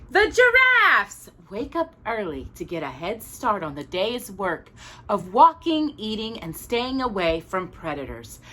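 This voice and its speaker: A woman's voice: mid-range voice, bright, forceful tone. A woman reading a children's picture book aloud as a storytime reader, expressively and with small asides to a young listener.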